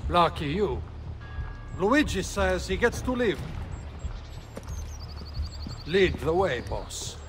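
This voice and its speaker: A man's voice: high voice, very animated, takes it slowly, long pauses, full character voice. An actor playing a menacing, mocking henchman with an Italian accent in a video game cutscene. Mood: mocking, brusque. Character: menacing, mocking male henchman, a thuggish collector. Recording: music behind the voice.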